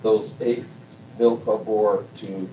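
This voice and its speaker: A man in a study group reading scripture aloud, stumbling haltingly over the hard names. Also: little pitch movement, dark tone. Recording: distant mic with low rumble.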